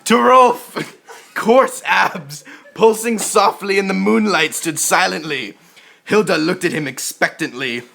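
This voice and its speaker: A man reads a story aloud as a mock-serious drama. His voice sits fairly high and has a gravelly creak. He stretches his phrases theatrically, with a forceful, bright tone. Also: takes it slowly. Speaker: narrator of a comedic dramatic reading.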